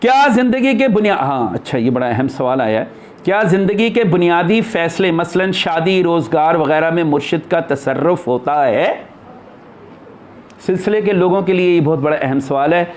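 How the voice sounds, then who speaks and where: Male spiritual teacher, distinctly high-pitched. Informal sermon delivery, explanatory and emphatic. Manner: emphatic, explanatory, with rhetorical questions. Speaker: spiritual teacher answering followers' questions. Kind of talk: informal religious discourse.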